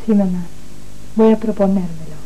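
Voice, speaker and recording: A woman's voice: mid-range voice, moderate pace, mellow, a little hiss or hum, natural voice, rough recording. A woman playing a defensive young daughter with an Argentine accent in a telenovela. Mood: promising.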